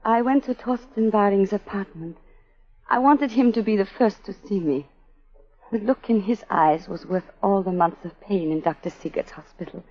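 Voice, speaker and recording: A woman's voice: medium pitch, moderate pace, lightly acted. An actress playing a bitter, scarred young woman criminal in a 1940s radio drama, with clipped, theatrical delivery. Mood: composed testimony. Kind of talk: 1940s radio melodrama acting. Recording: muffled old 1940s radio recording.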